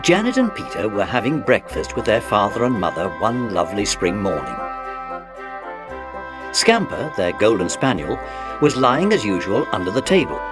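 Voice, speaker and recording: Male narrator, lowish voice, very lively intonation, children's story, expressive scripted reading, music underneath. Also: normal speed.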